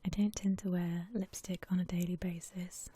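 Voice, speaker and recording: A woman speaks in a very breathy, soft whisper with a dark, mellow tone. She keeps it gentle and unhurried, staying within a narrow, even range as she chats casually in ASMR style. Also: on the low side. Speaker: ASMR video creator. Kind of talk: whispered ASMR, casual makeup chat voice-over.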